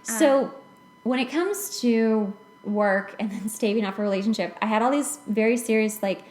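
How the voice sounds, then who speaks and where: A woman's voice: a little high, normal speed, darkish tone, reverberant. An American woman in her late twenties tells personal stories casually, in a chatty, self-deprecating way, as a podcast host. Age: late 20s. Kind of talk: casual personal storytelling in conversation.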